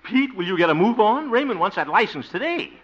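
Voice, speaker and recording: An actor playing a blustery, hopeful older cousin in a 1950s radio sitcom, exclaiming theatrically. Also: male voice, high-pitched, sing-song, fairly quick. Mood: exasperated. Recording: muffled, hissy 1950s radio recording.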